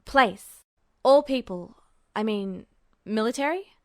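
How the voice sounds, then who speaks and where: Young foreign male soldier acted by a female reader, storybook dialogue, cool and wry, terse halting delivery, dry recording. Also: on the high side, animated, dry recording. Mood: searching, serious.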